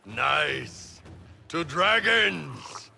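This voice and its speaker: A man playing a big, blunt, boisterous horned mercenary warrior in a video game, gruff and casual. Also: on the high side, big pitch swings, very slow, bright, forceful tone, slight background noise, heavily acted. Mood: boisterous relish. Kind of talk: video game cut-scene dialogue.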